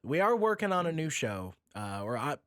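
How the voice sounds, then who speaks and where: Animated man hosting a casual podcast. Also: a little high, moderate pace, dry recording, studio quality. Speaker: podcast host. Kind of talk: casual chat interview.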